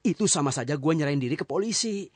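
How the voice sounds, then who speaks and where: Brief: a shot young car thief, rough slang, husky and pained, swinging pitch. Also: male voice, animated, very fast, no room sound, studio quality. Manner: coarse slang, pained and weakening. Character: wounded young male car thief, rough street talker. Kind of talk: 1990s radio drama acting.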